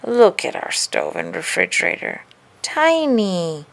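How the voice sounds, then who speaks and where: A woman's voice: medium pitch, big pitch swings, a little slow, creaky. A woman voicing a practical, weary but caring mother in a children's story. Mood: weary, firm.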